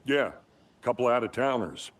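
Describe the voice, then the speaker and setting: A man's voice: medium pitch, lively intonation, normal speed, recorded from a distance, natural voice. A man playing a bumbling small-town deputy in a video game, folksy, hesitant and awkwardly polite. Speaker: sheriff's deputy. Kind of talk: comic-relief video game acting.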